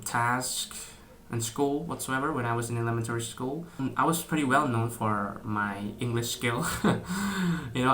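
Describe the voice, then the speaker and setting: Bright-voiced young Indonesian man, hesitant. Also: mid-range voice. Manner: hesitant, many fillers and restarts, laughs often. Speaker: vlogger. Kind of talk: casual talk to camera.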